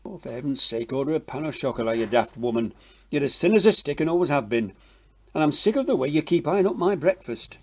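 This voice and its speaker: A man playing a blustery, blunt, teasing older British windbag in an audio drama, with a husky voice. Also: fairly high pitch, animated, brisk, darkish tone. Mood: grumpy. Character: grumpy, teasing old widowed windbag with opinions.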